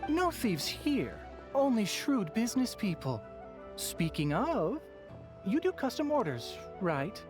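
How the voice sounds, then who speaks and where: Shrewd female merchant in a game, slow drawl, coaxing, sly, varied pitch. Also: very animated. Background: game music.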